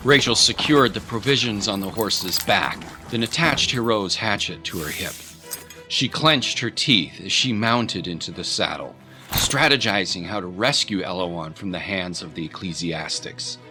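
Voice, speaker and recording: A man's voice: low voice, moderate pace, sharp tone, faint music behind. A man narrating a scripted historical audio drama in a grave, theatrical manner.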